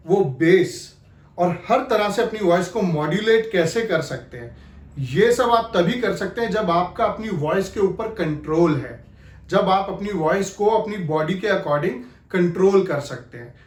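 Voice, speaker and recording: A man's voice: high-pitched, lively intonation, measured pace, reverberant. A man giving an instructional talk as an acting coach, explaining emphatically. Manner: explanatory, emphatic, repeats key phrases to demonstrate.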